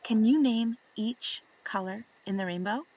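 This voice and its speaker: A woman reading aloud to young children in an expressive storytelling style, deliberate and fond of dramatic pauses. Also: a little high, poor audio.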